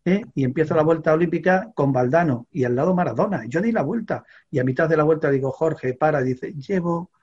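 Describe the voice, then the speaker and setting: An older man from Extremadura, a veteran sports reporter, telling an animated story in a studio chat with many fillers and restarts. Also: fairly high pitch, a little fast, hardly any pauses, slightly rough, highs cut off. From Extremadura, Spain.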